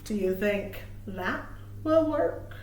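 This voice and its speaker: A woman's voice: mid-range voice, takes it slowly, roomy sound. A woman reading a picture book aloud to young children, expressively and with dramatic emphasis, as a librarian at storytime. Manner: expressive, asks listeners questions, dramatic emphasis.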